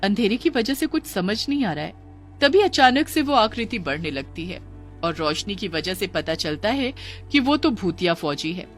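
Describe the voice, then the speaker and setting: Female narrator for children's ghost tales: scripted, dramatic, medium pitch, light music bed. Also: very animated, normal speed. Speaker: storyteller.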